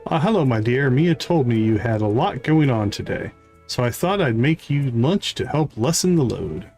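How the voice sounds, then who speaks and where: Guy doing a sweet grateful old lady from a cozy game, soft music under it. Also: medium pitch, lively intonation, moderate pace, natural voice. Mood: warm, grateful. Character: kindly, affectionate old village lady who loves cooking. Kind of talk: reading video game dialogue aloud. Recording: music playing behind the voice.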